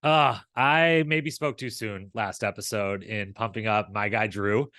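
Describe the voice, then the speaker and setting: A man's voice: lowish voice, a little slow, pushed and bright, no room sound, studio quality. A man co-hosting a recap show with casual, conversational commentary, rambling along and often acting out imagined dialogue.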